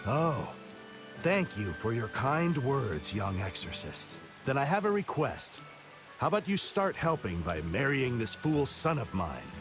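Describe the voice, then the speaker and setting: A middle-aged village farmer in a video game, stubborn yet hopeful. His mid-pitched voice moves expressively, earnest and firm, with music underneath. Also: very animated, unhurried. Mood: wry. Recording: music behind.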